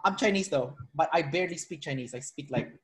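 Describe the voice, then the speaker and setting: A man's voice: fairly high pitch, fast talker, fairly bright tone. A man from the Philippines hosting a casual interview, talking in loose, rambling sentences full of fillers and restarts. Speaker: interviewer, personality trainer. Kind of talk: casual video-call interview.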